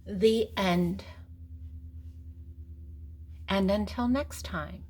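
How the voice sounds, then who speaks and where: An older woman with a husky voice reading a picture book aloud to young children, explaining gently and simply with pauses. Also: medium pitch. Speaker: storybook reader and host.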